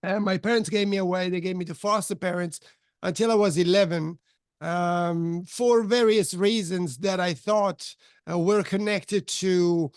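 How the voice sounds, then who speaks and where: A man in his fifties who grew up in Switzerland, telling his life story as a podcast guest, halting with many fillers and restarts. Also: sits high, steady tone, clean tone, no echo, clean studio sound. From Switzerland, of Italian parents. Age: 50s. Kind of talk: candid life-story interview.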